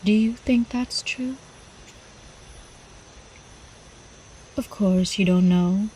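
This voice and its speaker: Woman playing a slow, velvety vampire countess. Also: mid-range voice, dark tone, slight background noise, natural voice, poor audio. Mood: coy, teasing. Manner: slow, refined, coyly teasing, formal old-fashioned diction. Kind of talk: ASMR roleplay acting.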